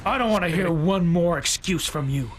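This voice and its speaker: A man playing a ruthless, domineering military commander in a video game, with loud music behind him. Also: high-pitched, moderate pace, slight background noise, lightly acted. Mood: stern, impatient. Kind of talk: video game cutscene acting.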